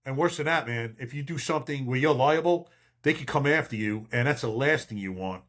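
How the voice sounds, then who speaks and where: A man's voice: mid-range voice, brisk, fairly bright tone. A man in his fifties or older talking casually as a podcast host and veteran cop, blunt and emphatic with streetwise phrasing. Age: 50s or older. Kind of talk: casual conversational advice.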